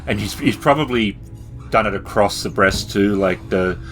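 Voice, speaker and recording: A man's voice: lowish voice, moderate pace, a bit bright. A man acting as game master, casually narrating and explaining a tabletop role-playing game. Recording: faint music behind the voice. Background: faint background music.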